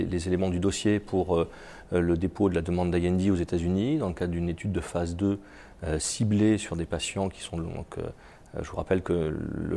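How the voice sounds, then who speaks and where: Cast a low gravelly man with a head cold, an executive giving halting interview answers. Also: mellow. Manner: halting, many repeated words and fillers. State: has a cold. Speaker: biotech company executive.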